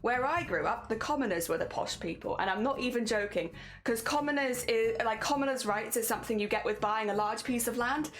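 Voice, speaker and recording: A woman's voice: on the high side, a little fast, few pauses, soft background music. A woman streamer with a mixed British accent that blends southern English, Midlands and Welsh. She chats casually with her viewers, rambles from point to point and laughs often.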